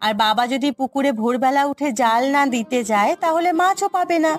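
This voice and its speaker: A woman's voice: high-pitched, expressive, fairly quick, soft background music. A woman voicing a caring village mother, chiding yet soothing, in a moral cartoon story. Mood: soothing, explaining. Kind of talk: Bengali moral cartoon dubbing.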